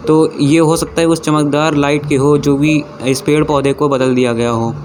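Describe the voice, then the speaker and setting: A man telling stories in an informal narration, with halting phrasing as he corrects himself. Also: on the high side, little pitch movement, darkish tone. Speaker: YouTube narrator. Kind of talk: informal storytelling narration of alien abduction cases. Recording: music behind the voice. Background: background music.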